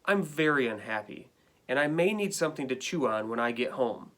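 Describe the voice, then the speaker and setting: A man's voice: medium pitch, animated, a little fast, a bit bright, lightly acted. Guy doing a whiny dramatic dog from a kids book, super put-upon, complains with lots of emphasis. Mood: aggrieved pleading. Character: indignant, melodramatic, put-upon pet dog writing letters. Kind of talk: storybook read-aloud.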